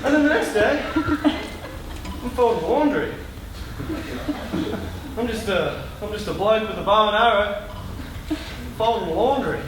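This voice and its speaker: A man's voice: sits high, animated, slow, long pauses. A man on stage playing a beleaguered superhero archer, an ordinary bloke telling his story with frantic, exasperated drama. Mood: deflated, exasperated. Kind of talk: live comedic stage acting. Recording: echoey noisy hall from far off.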